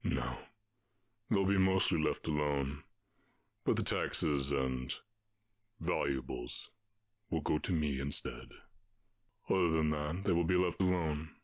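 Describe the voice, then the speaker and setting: A man voicing a calm, commanding orc warchief in an ASMR roleplay, with a husky voice and a measured, condescending, teasing manner. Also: dry recording, clean studio sound. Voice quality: very deep, husky.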